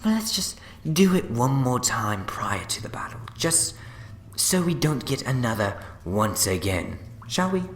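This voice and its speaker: An actor playing a gleefully smug, scheming time-travelling villain in a fan audio drama, theatrical, wheedling and gloating. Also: male voice, mid-range voice, sing-song, measured pace, slight background noise. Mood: mock-jovial. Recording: echoey long reverb, music behind.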